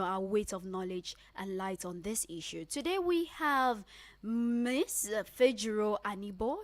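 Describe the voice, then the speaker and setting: A woman's voice: medium pitch, dry recording. Nigerian woman hosting radio, dry close sound. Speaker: radio host. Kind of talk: radio talk show hosting. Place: radio studio.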